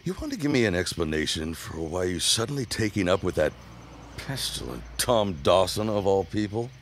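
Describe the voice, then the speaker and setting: An actor playing a gruff, jealous businessman in a period audio drama, speaking curtly and scornfully. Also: sits low, very animated, moderate pace, slight background noise. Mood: irritated. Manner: curt, scornful. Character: gruff, jealous businessman at his engagement party.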